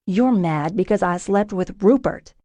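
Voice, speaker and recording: A woman's voice: mid-range voice, expressive, a little slow, warm tone, no echo, lightly acted. Woman playing a defiant woman soldier. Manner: tense, defiant retorts.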